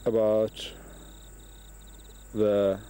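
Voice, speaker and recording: A man narrating a home travel video outdoors by a lake in a slow, halting way, recorded on a camcorder. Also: on the low side, completely flat delivery, dark tone, clean tone. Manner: slow, halting commentary with pauses.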